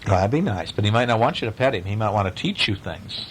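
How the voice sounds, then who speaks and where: A man's voice: fairly low pitch, lively intonation, fast, noisy background. A man telling a bedtime story aloud, with chatty, questioning asides as if to a child. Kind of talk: animated bedtime story reading with casual asides.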